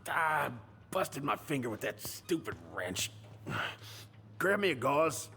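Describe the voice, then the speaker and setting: An actor playing a gruff, weary middle-aged handyman in a video game, with a folksy, plain-spoken drawl. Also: on the high side, big pitch swings, takes it slowly, sharp tone, in a large hall, slight background noise, heavily acted. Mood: pained, irritated. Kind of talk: naturalistic video game acting.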